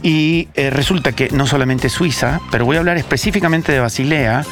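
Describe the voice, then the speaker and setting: A man's voice: on the high side, brisk. A man from El Salvador with a Salvadoran accent, hosting a casual talk show in an emphatic way, repeating phrases for stress. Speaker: host. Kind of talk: casual radio-style talk with co-hosts. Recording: music playing behind the voice. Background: background music.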